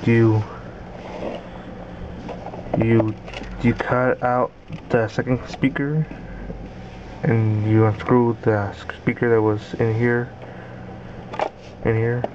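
A man giving a casual DIY tutorial in halting, repetitive, simple phrases, recorded indoors on a handheld camera's built-in mic. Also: mid-range voice. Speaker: amateur maker demonstrating a homemade gadget. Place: carpeted room indoors. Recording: dull lo-fi camera audio, steady noise.

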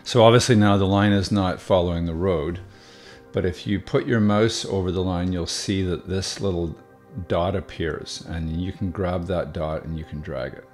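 A man calmly explaining software steps one by one in a screen-recorded tutorial, with the odd filler. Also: deep voice, normal speed, faint music behind. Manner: relaxed step-by-step explaining with fillers. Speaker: video tutorial host.

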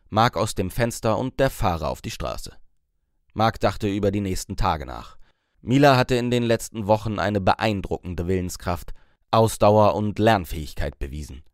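A man's voice: fairly low pitch, dry recording, studio quality. A man reading a scripted story as an audiobook narrator.

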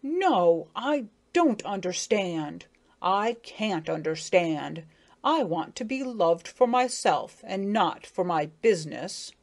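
A woman's voice: lowish voice, expressive, unhurried, darkish tone. A woman voicing an earnest, self-pitying male dung beetle who talks pompously and stammers. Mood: angry.

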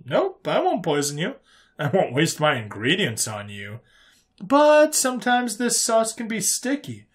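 A man voicing a cheerful, folksy human interrogator in a narrated sci-fi story, calm and teasing in colloquial phrases. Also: sits high, measured pace, pitched above the performer's own voice, heavily acted. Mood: slyly teasing.

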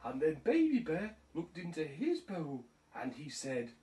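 A woman's voice: low voice, huge pitch swings, unhurried, warm tone, distant mic, exaggerated voice. A woman voicing a genial teddy bear, reading a comic storybook conversationally in a puppet show. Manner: conversational, jokey.

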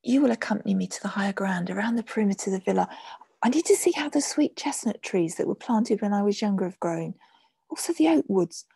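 A woman voicing an excited, exuberant young Roman mistress with breathy, eager exclamations in a storybook reading. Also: fairly low pitch, moderate pace, no room sound. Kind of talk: audiobook character voice.

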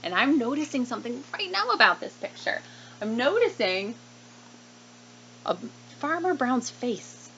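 A woman's voice: on the high side, measured pace, pauses often. A woman in her thirties reading a picture book to children like a teacher, expressively and with questioning asides. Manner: animated, questioning, child-directed. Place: small room indoors at desk. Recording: slightly dull webcam sound, faint noise.

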